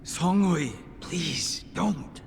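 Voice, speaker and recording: A man's voice: on the high side, lively intonation, very slow, gravelly, a little hiss or hum, full character voice. An actor playing a loyal, composed but anguished male lieutenant in a video game dub, formal and restrained. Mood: deferential pleading.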